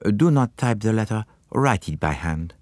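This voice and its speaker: A fussy, precise older detective, as a male narrator puts him on, with a polite, measured manner and soft murmurs. His lines sound as though they are heard from a step back from the mic, in a dry room. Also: on the low side, animated, no room sound. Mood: courteous.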